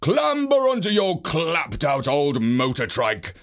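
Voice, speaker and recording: A man voicing a gruff, indignant old man in a children's storybook, with a scolding manner. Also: a little high, wildly swooping pitch, measured pace, full character voice.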